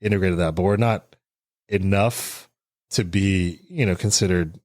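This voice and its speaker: A man's voice: low-pitched, measured pace, a little mellow, dry recording, studio quality. A man hosting a podcast in casual chat, thinking aloud in a reflective way and often checking in with "right?". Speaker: podcast host.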